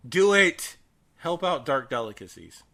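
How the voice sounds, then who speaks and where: A man's voice: a little high, big pitch swings, unhurried, sharp tone. A man co-hosting a casual podcast, emphatic and fond of repeating phrases for emphasis. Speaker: podcast co-host. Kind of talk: casual horror news chat.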